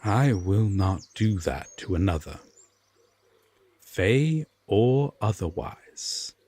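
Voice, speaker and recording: A man playing an aloof, stern yet secretly tender Fae king in a roleplay audio drama, speaking formally and deliberately with long pauses. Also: fairly low pitch, lively intonation, dark tone, no room sound. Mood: grave, refusing.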